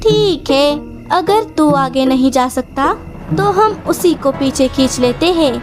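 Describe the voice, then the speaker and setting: A woman voicing a scheming, sharp-tongued mother bird in a children's cartoon, with a sarcastic, dramatic delivery. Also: sits high, lively intonation, slow, music in the background. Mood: sly, sarcastic.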